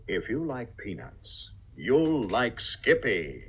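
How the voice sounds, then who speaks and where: A man's voice: mid-range voice, sing-song, takes it slowly, very noisy. A man announcing and reading ads in 1950s radio style, emphatic and mock-serious. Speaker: announcer and pitchman. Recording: vintage radio broadcast, faint music.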